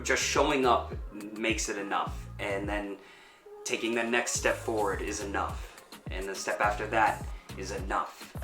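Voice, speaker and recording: A man's voice: on the low side, bright, forceful tone. A man giving thoughtful, reflective interview answers as a performer. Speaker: performer and fight choreographer. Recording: roomy echo with music underneath. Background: background music.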